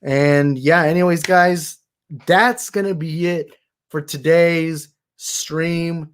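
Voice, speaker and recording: A young man hosting a movie talk livestream, tired and slightly raspy, rambling and thanking his viewers. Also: a little high, fairly even intonation, slow. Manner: rambling, grateful, repeats himself. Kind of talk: casual livestream sign-off chat.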